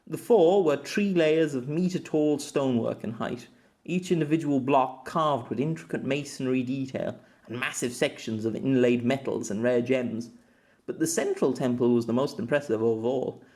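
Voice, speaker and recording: A man reading his own fantasy novel aloud as an audiobook, in an even, unhurried narration. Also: medium pitch.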